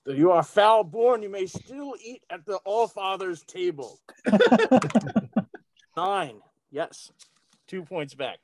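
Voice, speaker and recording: A man's voice: high-pitched, animated, takes it slowly, long pauses. A man playing a tabletop role-playing game, talking casually through his turn.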